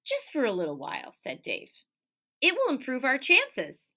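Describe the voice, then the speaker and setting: Woman, thirties, lively swooping pitch, bright voice, unhurried, children's read-aloud, teacherly, engages listeners with questions. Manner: expressive, stressing key words. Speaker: elementary school teacher. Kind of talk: animated children's picture-book read-aloud with asides. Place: small indoor room by a window.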